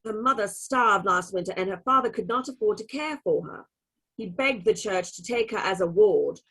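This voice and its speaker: A woman's voice: mid-range voice, animated, moderate pace, fairly bright tone, husky, rough recording. A woman playing a stern, devout churchwoman in a radio play, prim and reproving. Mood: grave, protective. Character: stern, devout female churchwoman running an orphanage.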